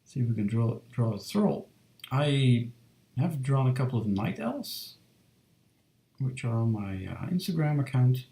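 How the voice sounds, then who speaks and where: A man in his thirties chatting casually on a live stream while he draws, soft and relaxed, with lots of fillers as he reads chat. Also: mid-range voice, a little slow, roomy sound. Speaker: streamer drawing fan art. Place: home studio room.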